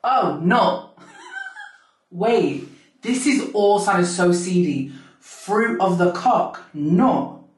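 Brief: deep-voiced woman in her twenties, gushing vlog chat, echoey room, camera set back. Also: animated, very slow, pauses often, far from the mic. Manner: chatty, gushing, giggly. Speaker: vlogger. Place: home bathroom at night, then a bright kitchen. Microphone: camera on a low stand.

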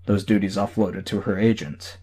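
A secretive superhero voiced by a male narrator explains things matter-of-factly in an audiobook story. The voice is low, mellow and husky. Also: steady tone, normal speed. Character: secretive, matter-of-fact superhero in a borrowed body.

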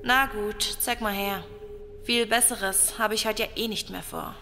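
A woman playing a curt, dismissive stranger who speaks slowly and dryly. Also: mid-range voice, steady tone, vocal fry, pushed and bright, in a large hall, a little hiss or hum. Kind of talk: audio drama acting.

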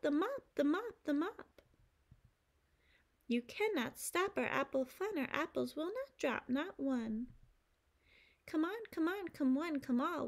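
A woman in her thirties with a fairly high voice leads a children's storytime. She speaks very slowly, with simple, clear phrasing suited to preschoolers, like a teacher reading a picture book aloud.

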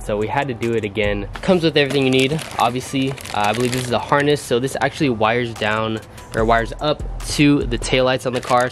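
Upbeat young man with a nasal cold. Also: medium pitch. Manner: upbeat, says "guys" a lot. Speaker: car vlogger. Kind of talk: casual vlog chat. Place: driveway and garage, outdoors.

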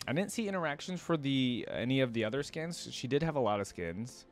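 A young man hosts a reaction video with casual, curious commentary. His voice sits at a middle pitch, close on the mic. Faint music plays underneath as he muses. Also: expressive, brisk. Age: young adult. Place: indoor room at a desk, gaming chair. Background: faint music and game audio.

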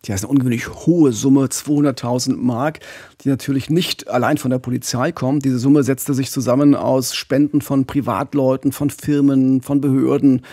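A man's voice: medium pitch, steady tone, normal speed, warm tone, no room sound. A man in his forties hosting a true-crime podcast, narrating conversationally into a studio microphone. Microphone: studio condenser mic with pop filter.

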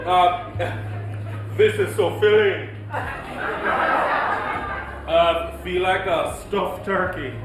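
An actor playing a flustered, evasive middle-class husband in a comedic stage play, halting and exclamatory. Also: male voice, sits high, a loud crowd, very noisy. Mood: impressed, awkward. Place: indoor theatre stage. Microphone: distant stage pickup. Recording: echoey theatre from far off, music behind.

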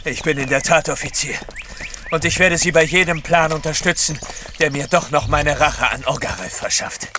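An actor playing a proud, vengeful army officer in an audio drama, brisk and confident. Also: male voice, on the high side, noisy background, natural voice. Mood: grimly vengeful.

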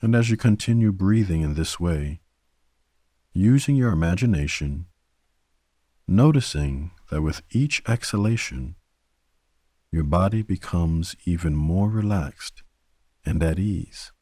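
A man guiding a sleep meditation in a gentle, soothing manner, leaving long pauses between short phrases. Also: sits low, animated, no room sound, clean studio sound. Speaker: meditation guide. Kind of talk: guided sleep meditation narration.

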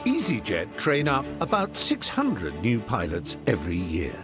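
A man's voice: on the low side, wildly swooping pitch, measured pace. A man narrating a documentary in a scripted voice-over style. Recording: voice-over with music behind.

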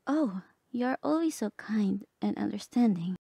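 A woman playing a gentle, lovestruck bard companion in a romantic ASMR roleplay, speaking softly and tenderly, often half whispering. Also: mid-range voice, lively intonation, normal speed, vocal fry, slightly rough, dry recording, clean studio sound. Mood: pleased, tender. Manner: tender, hesitant, polite.

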